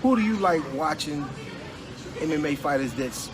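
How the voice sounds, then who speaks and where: A man hosting a relaxed, joking interview, asking questions in a laid-back way. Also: on the high side, moderate pace, music in the background, low-quality recording. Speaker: interview host. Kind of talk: casual interview chat.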